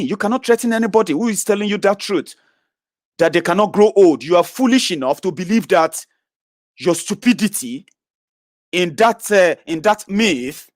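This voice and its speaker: A man in his thirties delivers a blunt, profane rant straight to his audience. He swings between loud outbursts and low, muttered asides. The recording is close and dry, with no room sound. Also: no echo. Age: 30s. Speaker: online political commentator. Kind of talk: ranting monologue to followers.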